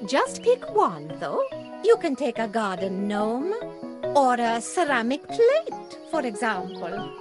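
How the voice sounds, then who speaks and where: A woman's voice: on the high side, wildly swooping pitch, slow pace, exaggerated voice. A woman voicing a friendly goat aunt who keeps a souvenir shop, in a children's cartoon game, sounding welcoming. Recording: music playing behind the voice.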